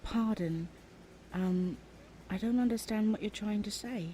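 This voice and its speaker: Mellow, hesitant shy roommate, a woman playing her. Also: mid-range voice, a little slow, slight background noise, natural voice. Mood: eager, sweet. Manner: soft and hesitant, with breathy whispered asides. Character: shy, sweet young woman roommate, eager to please. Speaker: voice actor. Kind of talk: ASMR audio roleplay.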